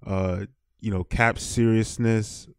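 A man's voice: fairly low pitch, fairly even intonation, slow pace, smooth, no echo, clean studio sound. A man co-hosting a podcast, giving opinions in a casual, conversational way. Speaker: podcast co-host.

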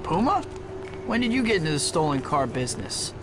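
An actor playing a young, cocky, good-natured superhero in a video game, quippy and sarcastic. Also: male voice, on the high side, big pitch swings, a little fast. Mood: wry, wisecracking. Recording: game audio with very loud effects noise.

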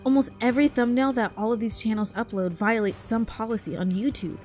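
A woman's voice: a little high, fairly even intonation, brisk, a little mellow. A woman co-host reading a scripted video essay in an outspoken way. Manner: outspoken, swears. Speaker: co-host of a channel. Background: background music.

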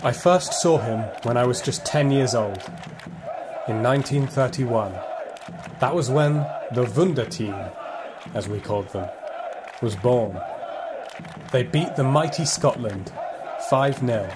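A man's voice: mid-range voice, lightly acted. An actor playing a nostalgic, proud and wry Austrian football fan, telling his story theatrically in an audio play. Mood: grave, reflective, then proud, gleeful. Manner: theatrical, emphatic, with weighty pauses. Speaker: narrator. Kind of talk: dramatic first-person storytelling in an audio play. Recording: music and crowd noise behind.

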